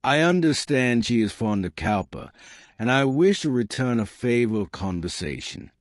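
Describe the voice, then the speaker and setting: A man's voice: mid-range voice, big pitch swings, normal speed, no echo, clean studio sound, lightly acted. A man voicing an earnest, courteous army colonel in an audiobook, speaking formally and directly. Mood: polite, resolute. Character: earnest, courteous army colonel, a plain-spoken gentleman suitor. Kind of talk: audiobook character dialogue.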